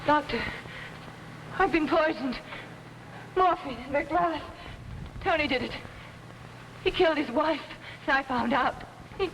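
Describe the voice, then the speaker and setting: An actress playing an ambitious, unbalanced young pianist and murderess in theatrical 1950s television melodrama style. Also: female voice, high voice, fairly bright tone, slight background noise, low-quality recording. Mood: frantic, desperate. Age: young adult. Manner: intense, theatrical. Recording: old 1950s TV soundtrack, music behind.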